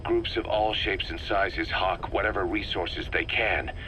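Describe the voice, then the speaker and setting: A man playing a hardened, wry mercenary officer giving a deliberate, emphatic briefing in a video game. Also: low voice, fairly even intonation, bright, forceful tone, slight background noise, poor audio. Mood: grave, informative.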